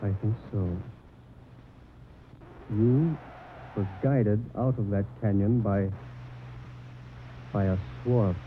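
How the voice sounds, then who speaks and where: An actor playing an earnest believer in the supernatural in an old-time radio drama, explaining things deliberately. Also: male voice, lowish voice. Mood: grave, knowing. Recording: muffled tinny old radio recording, faint noise.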